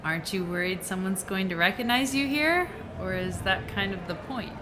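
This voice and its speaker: A woman's voice: medium pitch, lively intonation, normal speed, background noise, natural voice. A woman playing a conflicted, tired neuroscientist mother in a naturalistic audio drama, speaking in a hesitant, conversational way. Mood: nervous. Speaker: voice actor in a musical audio drama.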